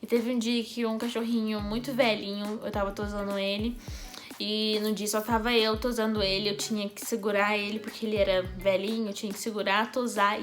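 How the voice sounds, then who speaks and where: A young woman from Brazil with a Brazilian Portuguese accent, telling a personal story in a chatty, animated vlog, laughing often. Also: mid-range voice. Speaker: YouTuber.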